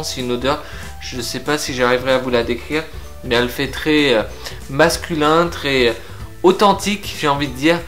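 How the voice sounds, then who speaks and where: A young man from France reviewing beard grooming products to camera in a frank, lively, casual way. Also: medium pitch. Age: young adult. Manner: lively, frank, chatty with filler pauses. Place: indoor room with shelves of figurines.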